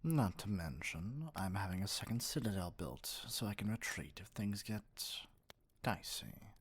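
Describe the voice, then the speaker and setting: A man's voice: on the low side, very animated, measured pace, slight background noise. A man voicing a spoiled, haughty evil king in an ASMR roleplay, speaking in a theatrical, condescending drawl. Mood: smug, condescending.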